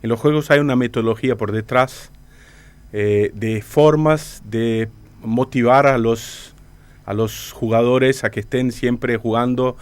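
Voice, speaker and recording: A man from Brazil with a Brazilian accent and native Portuguese, giving halting explanatory interview answers. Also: medium pitch, steady tone. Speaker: interview guest, company director.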